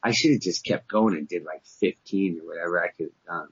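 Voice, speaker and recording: A man's voice: low-pitched, a little fast, husky. A man in his fifties co-hosting a podcast, joking and bragging in casual banter. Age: 50s. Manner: boastful, joking, animated storytelling. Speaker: podcast co-host. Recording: dull lo-fi podcast audio.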